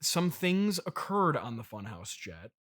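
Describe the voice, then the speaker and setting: A man's voice: mid-range voice, big pitch swings, a bit bright. A man playing an exasperated, defensive rich CEO father who stammers and repeats himself in an improvised comedy interview. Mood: sheepish. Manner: halting, flustered self-justifying, repeats himself.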